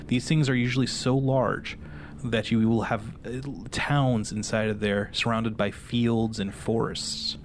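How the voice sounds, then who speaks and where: A man working as game master, narrating a fantasy horror tabletop game in a halting, explanatory way. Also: medium pitch, little pitch movement, a little hiss or hum.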